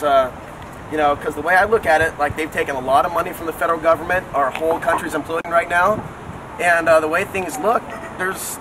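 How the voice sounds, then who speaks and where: A man's voice: on the high side, far from the mic, noisy background. A man making earnest, argumentative political points in the street, recorded outdoors on a handheld camera. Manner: insistent, argumentative. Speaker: city council candidate and local TV show host. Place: outdoors on a city street at night. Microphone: handheld camera a step or two away. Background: city street ambience and vehicles.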